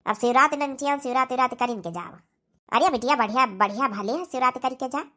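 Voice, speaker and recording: A woman's voice: sits high, expressive, fast talker, bright, forceful tone. A woman voicing a doting older village mother-in-law with an Awadhi accent in a comedy cartoon. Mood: fond.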